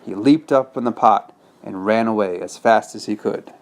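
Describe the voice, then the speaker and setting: A man's voice: fairly low pitch, normal speed, a little mellow, a little hiss or hum. A man reading a bedtime story as a father to his child, patiently explaining in simple words with check-in questions.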